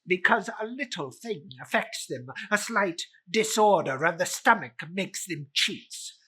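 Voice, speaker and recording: A woman's voice: lowish voice, animated, unhurried, fairly bright tone, slightly rough, exaggerated voice. A woman voicing a stubborn, irritable old man who is rattled, stammering and blustering, in a dramatic storybook reading. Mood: scornful.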